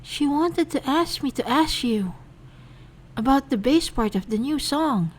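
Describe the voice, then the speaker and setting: A woman's voice: high-pitched, expressive, unhurried. Woman voicing a shy, puppyish young woman. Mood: bright. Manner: soft, hesitant, stammering.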